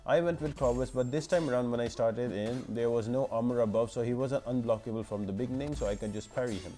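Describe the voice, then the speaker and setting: Mellow man talking through a game guide. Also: mid-range voice, fast talker, soft background music. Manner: instructional, step-by-step explaining. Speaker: gaming guide video host. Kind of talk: informal walkthrough commentary over gameplay.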